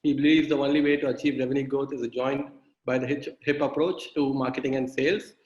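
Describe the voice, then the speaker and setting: A man's voice: medium pitch, steady tone, fast talker, a little mellow. Hesitant male host introducing a guest, roomy echo, muffled video-call audio. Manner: hesitant, many "uh"s. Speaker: summit host. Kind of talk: introducing a speaker and interviewing.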